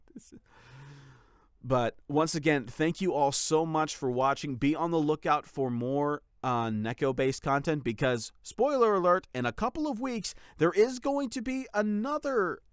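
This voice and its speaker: A man giving a casual update to his followers as a channel creator, speaking in a gushing, grateful, informal way with a clear, smooth voice. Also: on the high side, measured pace, no echo, studio quality.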